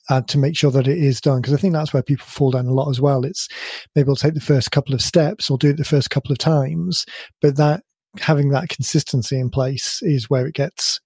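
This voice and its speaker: A man co-hosting a podcast in casual chat, his voice a bit croaky, thinking aloud in long rambling sentences. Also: mid-range voice, a little mellow, dry recording. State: slightly croaky voice. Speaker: podcast co-host.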